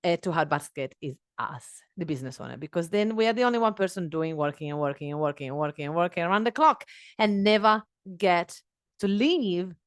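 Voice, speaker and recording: A woman in her thirties hosting a solo podcast on a headset mic in a small home office. She speaks English as a second language, talking in an earnest, animated way with many fillers and restarts. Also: fairly low pitch, dry recording, clean studio sound. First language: not English. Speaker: podcast host.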